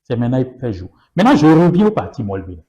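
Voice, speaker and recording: A man's voice: medium pitch, big pitch swings, fast, dark tone. Emphatic man with a Yoruba mother tongue. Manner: emphatic, repeats phrases, rhetorical questions. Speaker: political party guest. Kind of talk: political interview.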